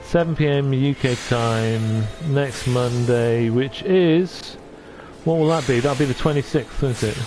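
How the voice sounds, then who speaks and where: A man from North Wales chats casually with his viewers from the sofa at home. His voice sits in an ordinary middle pitch, and he talks gently with lots of pauses and restarts. Faint game music plays quietly behind him. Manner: gentle, hesitant, with many restarts. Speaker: streamer. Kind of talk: casual chat reading out viewer comments.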